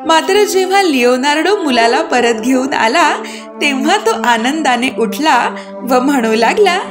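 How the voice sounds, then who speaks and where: Lady telling a kids story, high pitched n very expressive, music clearly playing behind. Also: unhurried. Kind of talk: scripted children's story narration.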